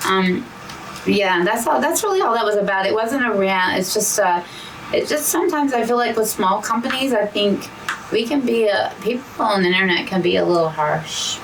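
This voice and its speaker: A woman co-hosting a casual hobby chat, hoarse and losing her voice, rambling with frequent restarts. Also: mid-range voice, animated, moderate pace, distant mic, slight background noise, poor audio. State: hoarse, losing her voice from talking too much. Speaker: co-host of a diamond painting channel.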